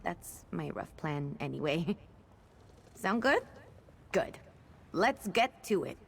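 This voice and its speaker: A woman's voice: on the low side, sing-song, slow, creaky, husky, exaggerated voice. An actress voicing a brash, sarcastic young female mage companion in a video game, blunt and wry. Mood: wry, determined. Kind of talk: video game character dialogue. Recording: game ambience noise behind the voice. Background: game ambience.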